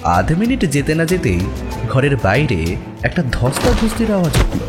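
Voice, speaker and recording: Casting: male story narrator, expressive scripted delivery, lively pitch movement, musical backing. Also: moderate pace. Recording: music behind the voice.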